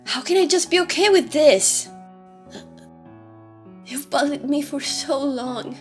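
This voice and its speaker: A woman's voice: high voice, slow pace, voice pushed up. A woman voicing a troubled, withdrawn human teenager in a dramatic comic dub, speaking in an earnest, pleading manner. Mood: hurt, angry. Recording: background music under the voice. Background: music.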